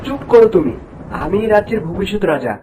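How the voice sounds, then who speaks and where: Man playing an animated, noble cartoon prince. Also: high voice, fast talker, crowd noise, low-quality recording. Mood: indignant. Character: noble young male prince, principled and caring. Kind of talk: cartoon fairy-tale voice acting.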